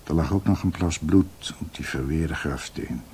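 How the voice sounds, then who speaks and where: An actor in a radio drama playing a grave older doctor who tells a dark family history in a halting, somber way. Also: male voice, extremely low pitch, a little hiss or hum.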